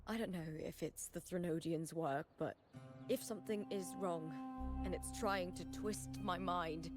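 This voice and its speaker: Low, slow-spoken proud warrior woman played by an actress. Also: natural voice. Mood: troubled, earnest. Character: proud, honorable young female warrior, quietly troubled. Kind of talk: video game voice acting. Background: game music.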